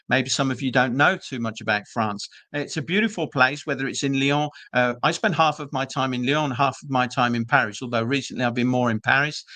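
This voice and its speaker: A man's voice: a little high, bright, forceful tone, dry recording. A British man presenting an online webinar for a business school, chatty and reassuring with frequent ums and uhs, speaking over a video call. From Britain.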